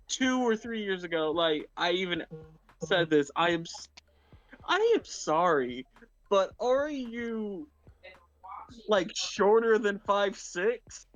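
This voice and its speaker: A man with a Southern US accent, talking slowly in a fairly high voice. He rambles through loose casual chat and keeps stopping to restart his sentences. The sound is a home mic on a voice call. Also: fairly bright tone, lo-fi sound. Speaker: co-host.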